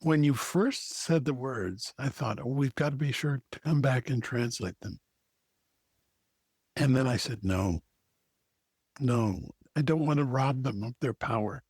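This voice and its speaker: A man's voice: medium pitch, a little slow, darkish tone, slightly rough, no room sound, studio quality. A man co-hosting a podcast, speaking in a thoughtful, earnest, reflective way. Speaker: podcast co-host. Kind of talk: reflective conversation.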